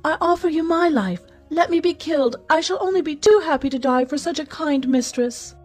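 A woman's voice: normal speed, darkish tone, faint music behind, close to an everyday voice. A woman voicing a devoted, self-sacrificing servant girl in a storybook, with fervent pleading. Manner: fervent, high-pitched pleading.

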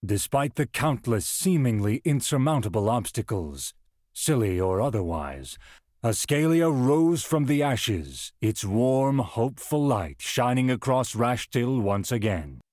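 An actor playing a solemn storyteller narrating a video game epilogue, grand and measured with wry touches. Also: male voice, mid-range voice, sing-song, a little mellow, dry recording, studio quality. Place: dry studio.

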